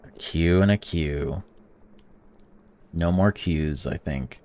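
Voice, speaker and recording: A man hosting a gaming channel, reading a visual novel's text aloud while playing and breaking off into excited or indignant reactions. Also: low-pitched, slow pace. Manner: reads game text flatly, then blurts excited or indignant reactions.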